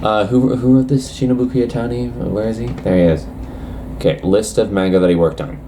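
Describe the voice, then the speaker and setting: A man's voice: on the low side, steady tone, brisk, dark tone, a little hiss or hum. A young man casually reacting to anime news, reading quotes aloud and rambling with lots of hesitations.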